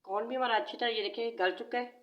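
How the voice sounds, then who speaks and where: A woman with a sore throat walks through a recipe step by step, repeating points patiently. Her voice sits a little on the high side. The sound bounces around a roomy home kitchen. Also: fairly even intonation, fast talker, highs cut off. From Pakistan. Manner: patient, repetitive explaining. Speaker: cooking channel host. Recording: echoey room.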